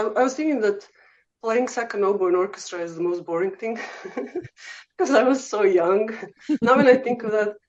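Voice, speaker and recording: A woman with a Serbian accent speaks at a middle pitch in a loose, chatty way, filling pauses with "um" and "uh." She sounds like a guest sharing her own story. The audio is slightly muffled and lo-fi. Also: darkish tone. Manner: chatty, frequent "um" and "uh", laughs easily. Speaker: guest oboe professor. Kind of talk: casual interview storytelling.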